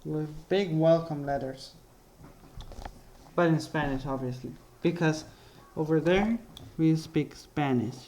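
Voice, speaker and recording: A young man casually narrating his life story over drawings, halting often and correcting himself as he goes. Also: on the high side, a little mellow, a little hiss or hum. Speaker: vlogger.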